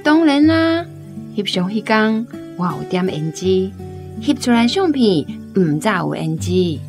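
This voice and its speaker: A woman's voice: medium pitch, big pitch swings, measured pace, music in the background. A woman playing a proud, fond older mother with a Taiwanese accent in a radio drama, reminiscing with self-assurance. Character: proud older Taiwanese mother recalling her pretty youth.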